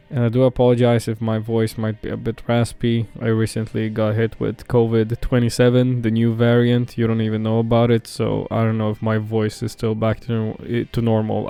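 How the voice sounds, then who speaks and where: A man's voice: on the low side, creaky, mellow, clear, resonant tone. A young man giving casual, profane game commentary as a voice-over, full of "like" fillers. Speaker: gaming YouTuber.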